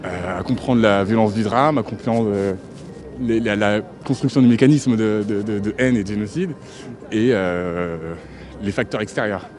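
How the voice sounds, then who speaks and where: A man in his thirties who grew up in France, speaking haltingly with many fillers and restarts in a street interview at a march. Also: lowish voice, darkish tone. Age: 30s. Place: outdoors at a city street march.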